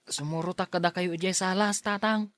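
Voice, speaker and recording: An actor playing a timid, earnest youth in a radio drama, speaking plaintively and deliberately. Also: medium pitch, fairly even intonation, fairly bright tone, no echo, lightly acted. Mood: tentative. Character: timid earnest youth Aljun, son and younger brother.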